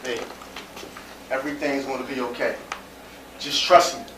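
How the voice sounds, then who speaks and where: A man's voice: medium pitch, little pitch movement, normal speed, a bit bright, natural voice. A man acting on stage as a frustrated, determined young American man, pleading and insistent in slangy speech. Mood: reassuring. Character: frustrated, determined young man hustling for his pregnant partner. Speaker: stage actor. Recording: roomy distant stage recording with hiss.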